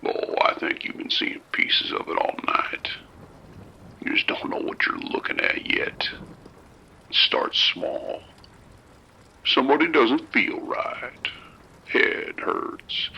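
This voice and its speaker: Creepy whispery kidnapper in an audio drama, a man doing the voice, super low gravelly drawl, slow and sing-songy, sharp bright edge to it. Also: exaggerated voice, poor audio. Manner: slow, coaxing and unhurried. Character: creepy soft-spoken male abductor, calm and menacing.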